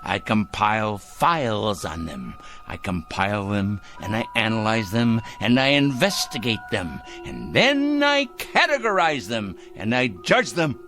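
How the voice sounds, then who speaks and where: A fussy, secretive zealot in a radio play, obsessed with judging evil. The voice is sharp and forceful, leaping up and down in pitch. The delivery is pompous, precise and condescending, with faint music in the recording. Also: male voice, sing-song, measured pace, hardly any pauses, heavily acted. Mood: self-righteous, menacing. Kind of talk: radio drama acting.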